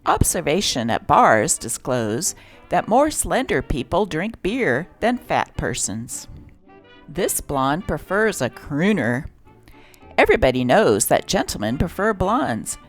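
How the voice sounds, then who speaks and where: A woman's voice: low-pitched, big pitch swings, takes it slowly, soft background music. A woman reading a script aloud as host and narrator, with a theatrical delivery.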